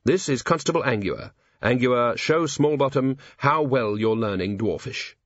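A man's voice: medium pitch, a little slow, no room sound, highs cut off, natural voice. A man voicing an earnest, eager, dutiful young male officer in a storybook. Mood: cheerful.

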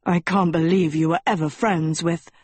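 A woman's voice: on the low side, steady tone, normal speed, a little mellow, slightly rough, dry recording. A woman voicing an earnest, muddled and haughty teenage prince in an audiobook, speaking bluntly. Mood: disgusted.

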